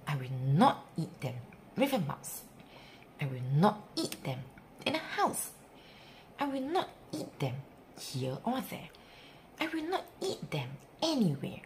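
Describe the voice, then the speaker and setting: Very animated woman reading a children's story. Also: mid-range voice, measured pace, pauses often, a little hiss or hum. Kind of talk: expressive read-aloud of a rhyming picture book for young children.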